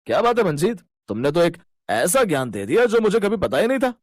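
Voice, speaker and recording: A mocking male supervisor played by a man in a cartoon story. He is rude, jokey and full of sarcasm, with a fairly high, very animated delivery in a dry recording. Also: fairly quick, no echo. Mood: sarcastic.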